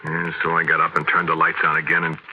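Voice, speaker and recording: Very low-voiced haunted radio horror narrator. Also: male voice, completely flat delivery, highs cut off. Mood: brooding narration. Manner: hesitant, brooding, halting. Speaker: narrator and lead actor. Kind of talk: 1940s radio horror acting, first-person narration. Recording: noisy old radio broadcast recording.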